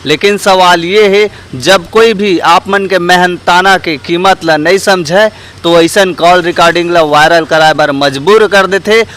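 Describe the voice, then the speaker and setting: High-pitched Chhattisgarhi man presenting to viewers. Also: measured pace, a bit bright. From Chhattisgarh, India. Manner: earnest, addresses viewers directly as friends. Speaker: host and street interviewer. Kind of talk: vlog presenting with public opinion questions.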